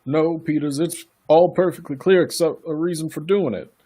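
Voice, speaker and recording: A man acting a self-assured county attorney in a radio play, brisk and patronising in manner. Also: a little high, steady tone, mellow, breathy edge. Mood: businesslike, dissatisfied.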